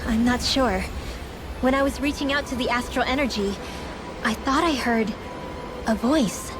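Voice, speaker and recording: A woman's voice: fairly high pitch, moderate pace, close to an everyday voice. An actress playing an earnest, headstrong young girl mage in a video game, youthful and insistent, with music behind. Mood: hesitant. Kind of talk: English video game cutscene acting.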